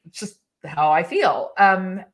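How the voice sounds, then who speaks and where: A woman giving HR advice in a live webinar discussion, talking in an animated way, acting out quoted dialogue and laughing often. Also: on the low side, slow. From English-speaking country. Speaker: HR expert guest.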